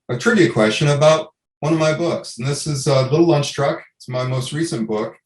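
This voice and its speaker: A man, a children's author presenting a trivia question to camera. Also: medium pitch. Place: indoor home office. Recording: echoey home room, webcam-like.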